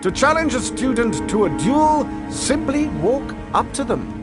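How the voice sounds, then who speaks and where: A man's voice: very high-pitched, sing-song, a little slow, music in the background, heavily acted. An actor playing a vain, theatrical male duelling teacher in a video game, pompous in manner. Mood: showy, pompous. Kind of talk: video game character acting.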